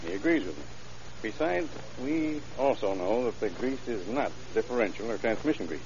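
An actor playing a matter-of-fact male police detective in an old radio drama, laying out facts in a dry, deliberate way. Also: lowish voice, lively intonation. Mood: businesslike. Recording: muffled, hissy old radio recording.

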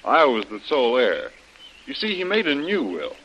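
An earnest plantation heir in a vintage radio drama. His mid-pitched voice rises and falls in a lively way, over faint recording noise. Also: moderate pace, lo-fi sound. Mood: earnest, explaining. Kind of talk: 1930s radio acting.

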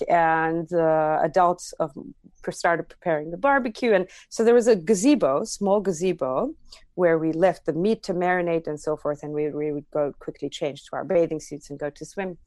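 A woman's voice: lowish voice, a little mellow, no echo. Woman telling a story. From Armenia. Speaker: podcast guest. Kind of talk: personal storytelling.

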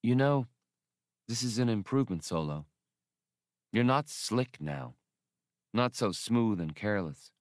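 A man's voice: fairly low pitch, lively intonation, a little slow, long pauses, dry recording, studio quality. A man voicing a proud, blunt young working-class woman spacefarer in an audiobook, speaking emphatically. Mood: tender teasing. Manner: scolding, emphatic.

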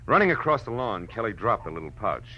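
An actor playing a tough, wry American private detective, terse and slangy, in the style of 1940s radio drama. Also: male voice, fairly low pitch, sing-song, fast talker, lo-fi sound, slight background noise. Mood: wry, explaining. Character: tough, hard-boiled male private detective narrating his case.

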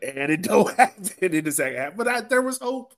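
A man's voice: sits high, sing-song, a little fast. A man hosting a podcast with casual sports chat, talking like a rueful, self-deprecating fan. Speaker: podcast host.

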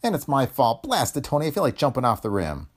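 A man's voice: medium pitch, huge pitch swings, fast talker, slightly rough, pitched above the performer's own voice. A man voicing a plump, miserable middle-aged studio employee in an old science fiction story, pleading and choked with guilt. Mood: worried, despairing. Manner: pleading, self-blaming.

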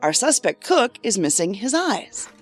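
A woman playing a brisk, skeptical federal investigator in an audio drama, terse, dry and questioning. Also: mid-range voice, big pitch swings, faint music behind. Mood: impatient.

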